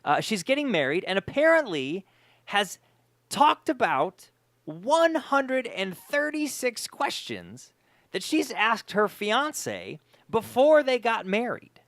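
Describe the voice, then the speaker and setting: A man's voice: sits high, a bit bright, no room sound, studio quality. A man hosting a podcast in casual chat, speaking emphatically and often repeating words for emphasis. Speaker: podcast host.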